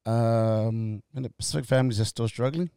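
A man in his forties from Tonga, telling his story as an interview guest in a halting way, full of fillers and restarts, laughing easily. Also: mid-range voice, gravelly, mellow, clean tone, dry recording, clean studio sound. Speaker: guest, company co-founder. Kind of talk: casual interview chat.

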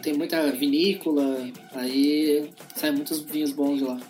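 A man's voice: on the high side, fairly even intonation, unhurried, darkish tone, a little hiss or hum. A man in casual group chat, earnestly explaining customs.

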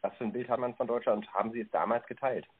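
A man hosting a podcast, formally interviewing a guest and reading out prepared questions in a polite, measured manner. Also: mid-range voice, fairly even intonation, breathy edge, narrow, tinny audio.

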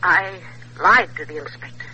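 Sharp, secretive housekeeper on telephone. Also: female voice, lowish voice, normal speed, muffled recording, a little hiss or hum. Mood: secretive, insistent. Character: secretive, insistent female housekeeper. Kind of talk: old-time radio thriller acting.

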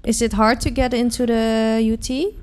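A woman's voice: fairly high pitch, level tone, moderate pace, darkish tone. A woman hosting a casual interview, asking friendly and encouraging questions.

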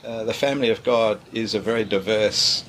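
A middle-aged male pastor talks about his church in a relaxed, halting way, often pausing on fillers. His voice sits fairly low. A faint hiss runs under the recording. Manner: relaxed, hesitant with many fillers. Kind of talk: casual interview answers.

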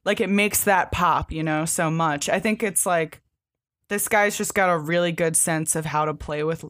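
A woman's voice: deep voice, moderate pace, fairly bright tone. A woman co-hosting a podcast, chatting casually and enthusiastically about a comic, with loose, sweary phrasing. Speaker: podcast co-host. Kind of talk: casual comic book discussion.

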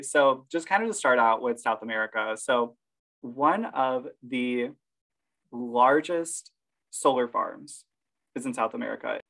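A man's voice: medium pitch, creaky, fairly bright tone, poor audio. A man co-hosting a casual podcast, laughing often and reading quotes from his sources. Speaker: podcast co-host.

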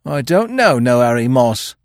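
A cheeky, sullen little crook out of a storybook, put on by a male narrator. His high voice jumps about as he whines and answers back in rough, slangy grammar. He is cunning and defiant, recorded very dry. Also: wildly swooping pitch, normal speed, no room sound, heavily acted. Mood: defiant, sulky. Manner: whining, indignant, defiant, colloquial grammar. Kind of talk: audiobook character voice.

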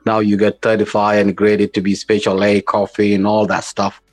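Low, even-toned South Sudanese man. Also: soft background music. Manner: informal, repetitive, halting phrasing with non-standard English grammar. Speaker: company founder, interview guest. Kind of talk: conversational interview answers.

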